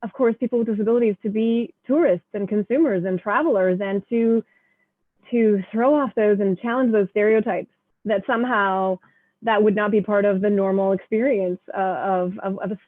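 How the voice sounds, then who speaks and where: A woman's voice: mid-range voice, steady tone, moderate pace, no room sound. Woman from Canada, panel moderator; mid voice, rambling, phone-like dry audio. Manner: warm, rambling, repeats words. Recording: tinny phone-like call audio.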